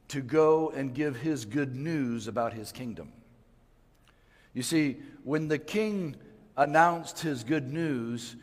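An older man who grew up in West Africa, speaking as a missionary in an earnest, emphatic preaching manner with frequent restarts. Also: mid-range voice. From West Africa (Mali). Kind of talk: prayer then church missionary talk. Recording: long hall reverb.

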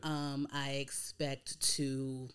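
A woman's voice: rumbling low, little pitch movement, extremely slow, clear, resonant tone. An American woman of thirty hosting a casual podcast, chatting informally with slang and free swearing. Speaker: podcast host.

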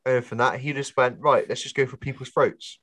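Chatty co-host with a chesty cough. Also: male voice, mid-range voice, a bit bright, breathy edge, no echo. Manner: chatty, laid-back, quick to laugh. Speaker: podcast co-host. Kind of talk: casual chat.

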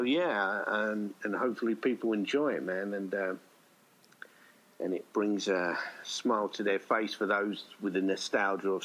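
Low-voiced man, rambling casually. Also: fairly bright tone, poor audio. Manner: rambling, anecdotal. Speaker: writer-director being interviewed. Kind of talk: casual chat.

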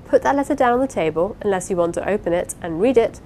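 A woman voicing a vain, fond, quick-tempered young wife with an emphatic, effusive manner in a dramatised novel reading. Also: animated, darkish tone, lightly acted. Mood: indignant, furious. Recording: clear low rumbling background noise.

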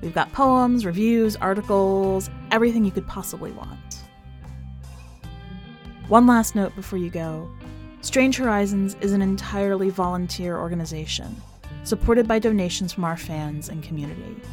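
A woman's voice: medium pitch, normal speed, a little mellow, soft background music. A woman podcast host who reads fiction aloud as a narrator and comments on it. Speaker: podcast host and editor reading a short story.

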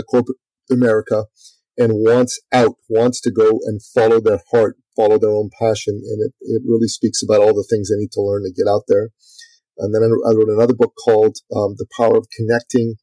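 A man's voice: fairly low pitch, dark tone, dry recording, low-quality recording. A middle-aged man from Iran, talking casually in an interview, full of fillers and restarts. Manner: talkative, many fillers and restarts. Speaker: podcast guest and author.

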